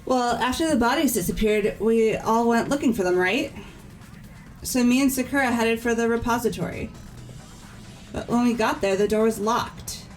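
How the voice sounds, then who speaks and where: A doubting teenage schoolgirl from a mystery game, played by a woman. The voice is fairly high and questioning, with music running behind it. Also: moderate pace, lightly acted, rough recording. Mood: explaining. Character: skeptical teenage girl student questioning the theory. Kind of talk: scripted game dialogue read aloud by fans. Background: background music.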